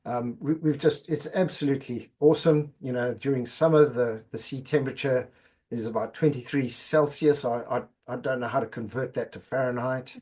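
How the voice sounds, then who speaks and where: A man's voice: mid-range voice, fairly even intonation, normal speed, a little mellow. A middle-aged man from Zimbabwe, a podcast guest chatting casually and often repeating words as he goes. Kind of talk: casual interview chat.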